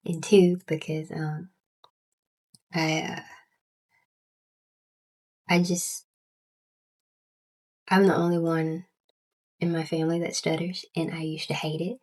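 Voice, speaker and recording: A young woman with a Southern US accent and a stutter, chatting casually in a book vlog with halting restarts and many fillers. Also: deep voice, flat delivery, a little mellow, rough recording. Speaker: booktuber.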